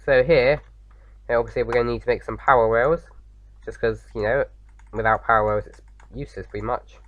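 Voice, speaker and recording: A man with a slight sore throat, slightly croaky, chatting casually as he hosts a game playthrough, rambling with lots of fillers. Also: medium pitch, fairly even intonation, a little fast, fairly bright tone, muffled recording, slight background noise. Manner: rambling, many fillers and restarts. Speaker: gaming video host. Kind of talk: casual let's-play commentary.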